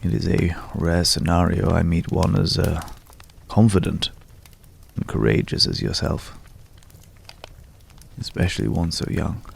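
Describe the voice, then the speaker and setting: Casting: man to play courteous, enigmatic male wanderer for ASMR fantasy roleplay. Very slow, hushed and measured, thick vocal fry, ominous undertone. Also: steady tone, a little hiss or hum, full character voice. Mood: solemn, measured. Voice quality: deep, gravelly. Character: mysterious, courteous male stranger visiting a hunter's night camp.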